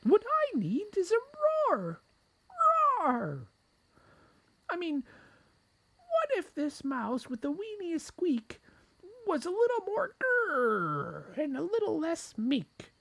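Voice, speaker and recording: A man voicing a tiny, meek but plucky mouse in a children's story, with an earnest, squeaky falsetto. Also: huge pitch swings, slow pace, gravelly, fairly bright tone, exaggerated voice, rough recording. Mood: excited, determined. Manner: earnest, peeping.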